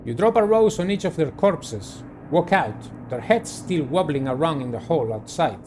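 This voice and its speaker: Expressive man narrating, lively swooping pitch. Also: moderate pace, background noise. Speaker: game player reading aloud. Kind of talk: dramatic narration of a visual novel.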